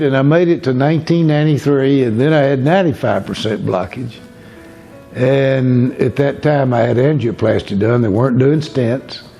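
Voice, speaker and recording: A man in his seventies telling his own story to camera in a plain-spoken, unhurried way. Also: medium pitch, vocal fry, mellow. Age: 70s. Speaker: interviewee.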